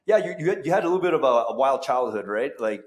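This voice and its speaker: A man's voice: mid-range voice, animated, quick pace. A man hosting a podcast in casual chat, rambling with many fillers and restarts. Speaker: podcast host. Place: bar under construction. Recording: echoey room.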